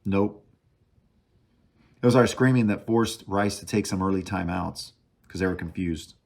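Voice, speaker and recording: A man's voice: sits low, measured pace, gravelly, darkish tone. A man hosting a sports talk show alone, casually reviewing a game as an opinionated fan, thinking aloud and hammering short phrases by repeating them. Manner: emphatic repetition of short phrases. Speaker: sports podcast host.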